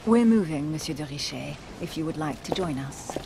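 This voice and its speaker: A woman's voice: low voice, animated, moderate pace, vocal fry, heavy background noise. A woman voicing a poised, aristocratic duchess in a video game, formal and refined. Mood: polite. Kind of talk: video game cutscene acting.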